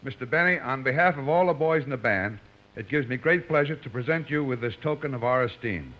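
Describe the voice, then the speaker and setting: A man's voice: mid-range voice, animated, fairly quick, close to an everyday voice. A muffled vintage radio comedy recording has a man playing a band drummer. His mid-range voice is earnest and formal, as if reciting a prepared speech. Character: earnest male band drummer making a presentation. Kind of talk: 1950s radio comedy acting.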